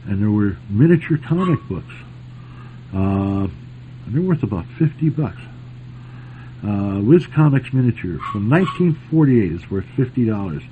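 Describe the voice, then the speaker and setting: Male host for casual nostalgia radio chat, joking and very animated, on a narrow, tinny broadcast line. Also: lowish voice, moderate pace. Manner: teasing, joking. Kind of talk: casual bantering chat about old-time radio. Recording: noisy phone-like lo-fi radio sound.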